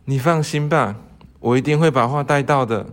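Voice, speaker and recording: A man's voice: mid-range voice, normal speed, natural voice. A young man playing an earnest, hesitant young scholar in love, in an amateur radio drama. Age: young adult. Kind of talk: student radio drama acting.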